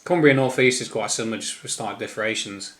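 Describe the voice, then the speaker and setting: A man with an English East Midlands accent giving an informal, unscripted opinion monologue in a rambling, halting manner. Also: on the low side. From East Midlands, England. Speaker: solo commentator.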